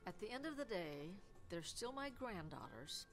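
An older woman playing a grandmother in a scripted comedy sketch, speaking calmly and matter-of-factly. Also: medium pitch, moderate pace, soft background music.

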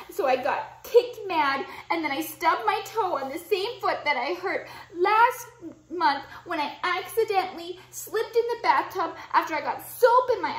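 A woman voicing a small upset boy who rushes breathlessly through a long list of complaints in a storybook reading. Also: extremely high pitch, reverberant, voice pushed up. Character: upset little boy rattling off woes.

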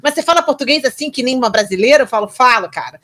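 Bright, lively Brazilian woman. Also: high voice, very fast. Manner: lively, emphatic storyteller. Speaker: guest co-host. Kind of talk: casual video-call interview. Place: room at home, indoors. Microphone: video call.

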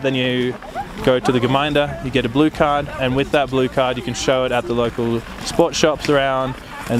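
A man's voice: mid-range voice, background noise. A man in his twenties from Australia, a ski instructor, talking casually about his working life in an interview. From grew up in Australia. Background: music.

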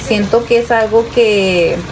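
A woman's voice: mid-range voice, unhurried, rough recording. A woman in her thirties, a stay-at-home mom, chatting casually to her phone camera at home and calling her viewers "girls". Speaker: mom vlogger. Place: home kitchen and bedroom, indoors. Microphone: phone held at arm's length.

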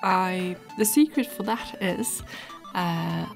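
Slow-talking, hesitant woman. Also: fairly low pitch, expressive, gravelly, over music. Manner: hesitant, rambling. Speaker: illustrator, video host. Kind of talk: casual voice-over chat about drawing.

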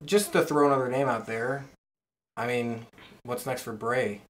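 A man's voice: medium pitch, fairly even intonation, normal speed, fairly bright tone. Man chatting on a podcast, noisy background. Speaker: wrestling podcast co-host. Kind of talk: casual podcast chat. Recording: clear background noise, room ambience.

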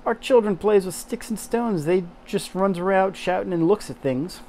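A man's voice: sits high, normal speed, a little hiss or hum, pitched above the performer's own voice. A man putting on a hearty young Yorkshire maid. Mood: astonished. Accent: attempted Yorkshire, drifting toward American Southern. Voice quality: broad drawled dialect, loud and hearty. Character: chatty young female Yorkshire housemaid.

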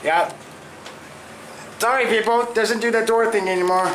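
A man's voice: high voice, normal speed. A man casually narrating his handheld elevator video in a department store, offhand and matter-of-fact. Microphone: handheld camera mic. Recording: echoey store with steady ambient noise.

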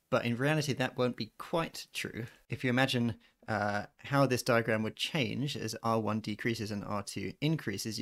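Low-voiced man with a creaky edge. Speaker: physics tutor. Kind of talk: informal maths lecture, explaining a worked derivation. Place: voice-over on a handwritten screen recording.

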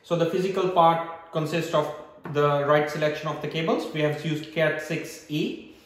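Man with higher voice, echoey room. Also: steady tone, moderate pace. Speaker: presenter of a tech setup tutorial. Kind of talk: step-by-step instructional narration. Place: small hard-floored room indoors. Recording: roomy, echoing indoor sound.

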